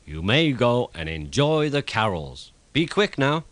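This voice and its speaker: A man's voice: medium pitch, very animated, measured pace, a bit bright, dry recording. A man voicing a pompous, bossy railway controller in a children's story, with a formal, commanding manner. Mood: pleased, brisk. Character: pompous, bossy male railway controller addressing his engines.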